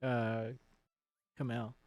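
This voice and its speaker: A man's voice: mid-range voice, flat delivery, drawn-out words, a little mellow, no echo. A man giving casual, laid-back let's play commentary as he streams a video game.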